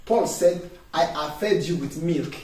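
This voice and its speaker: A man's voice: fairly high pitch, unhurried, a little mellow. A man, a pastor preaching a sermon with emphatic call-and-response questions to his congregation. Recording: echoey room, distant mic, faint noise.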